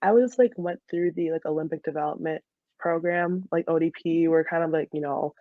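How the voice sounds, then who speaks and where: A woman's voice: low voice, brisk, no room sound. Young woman chatting casually, slightly dull audio. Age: young adult. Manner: heavy use of "like" as she talks. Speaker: soccer player interviewed as guest. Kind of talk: casual interview chat.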